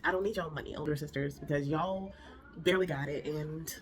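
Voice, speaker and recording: Woman recapper doing a defiant young sister, nasal, lowish, breezy and dismissive. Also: fairly quick, noisy background, close to an everyday voice. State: nasal from a cold.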